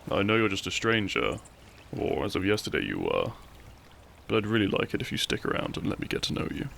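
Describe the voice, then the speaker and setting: A man playing a cheeky, tender and protective young bandit outlaw in an intimate romantic audio roleplay, speaking in a gentle, courtly, teasing way. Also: lowish voice, huge pitch swings, fairly quick, vocal fry, a little hiss or hum, heavily acted. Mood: tender, hopeful.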